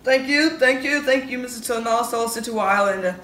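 A woman's voice: fairly high pitch, brisk, fairly bright tone, voice pushed up, lightly acted. A woman voicing a big, toothless, cheerfully pushy old male toad who wheedles and repeats himself. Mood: wheedling. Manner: wheedling, repetitive, smug. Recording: roomy with faint hiss.

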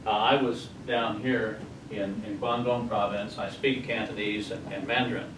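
A man's voice: on the low side, fairly even intonation, moderate pace. Male American speaker, 60s, emphatic lecture delivery, distant mic with background noise. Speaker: conference speaker. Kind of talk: slide presentation lecture. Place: hotel conference room, indoors. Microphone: far camera. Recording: distant camera mic, echoey, noisy room.

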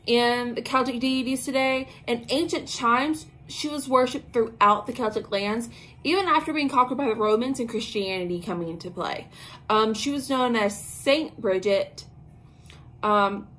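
A young woman talks casually into a camera in a small bedroom, her voice sitting a little high. She rambles with plenty of ums and slang while explaining her topic, over a faint hiss of room ambience. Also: fairly bright tone, low-quality recording. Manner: chatty and informal, lots of "um" and slang asides. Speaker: host of a witchcraft talk channel. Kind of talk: casual spoken lesson to camera. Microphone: camera at arm's length.

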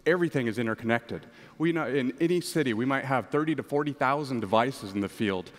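A man's voice: mid-range voice, quick pace. Male executive guest, 40s, middle register, prepared interview answers on stage, headset mic in a reverberant hall. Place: large conference stage, indoors.

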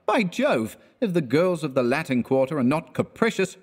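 A man's voice: a little high, sing-song, moderate pace, in a large hall, pitched above the performer's own voice. A man reading an audiobook, voicing an easygoing young man who protests mildly and turns pleasant. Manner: protesting, then mild and pleasant.

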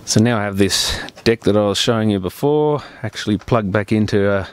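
A man with a fairly low, smooth and clear voice talks through a hands-on repair job. He explains things step by step in plain, practical terms, unscripted, and the sound is close and dry. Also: brisk, no echo. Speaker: repair technician presenting a tutorial.

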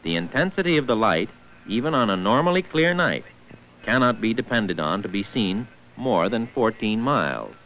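Low-voiced 1940s training film narrator, man. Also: very animated, moderate pace. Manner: formal, precise enunciation of figures. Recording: muffled, noisy 1940s film soundtrack.

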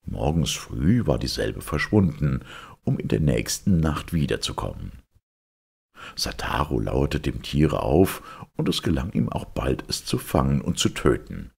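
A man with an extremely low, crackling voice narrates an old ghost tale. His delivery is solemn and measured, yet the intonation moves up and down in a lively way. The tone is heavily husky. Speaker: storyteller reading folk tales. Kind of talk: scripted narration of a ghost legend.

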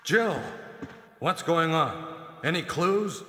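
A man's voice: fairly high pitch, expressive, takes it slowly, pushed and bright, full character voice. An actor playing an earnest burly policeman in a nineties horror video game, with stilted delivery. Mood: earnest, cautious. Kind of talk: 1990s video game voice acting. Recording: echoey hall with long reverb.

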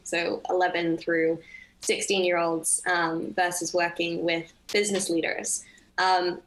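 A young woman who grew up in Indonesia talks thoughtfully on a video call. Her voice sits fairly low and she pauses on ums. It sounds like a laptop webcam in a home room. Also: a bit bright, rough recording. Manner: thoughtful, articulate, frequent ums. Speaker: panelist. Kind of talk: panel discussion on video call.

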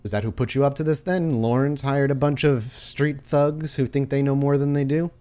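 A man's voice: medium pitch, fairly even intonation, normal speed, mellow, pitched above the performer's own voice, natural voice. A man voicing a haughty, composed male vampire boss who speaks formally and condescendingly in a tabletop game. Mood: guarded, condescending.

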